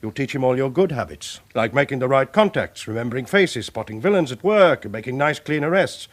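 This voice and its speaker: An actor with a British accent playing a brisk, commanding male police chief inspector, giving curt orders in a radio drama. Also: mid-range voice, animated, lightly acted. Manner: curt, authoritative, clipped orders. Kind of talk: 1980s radio drama acting.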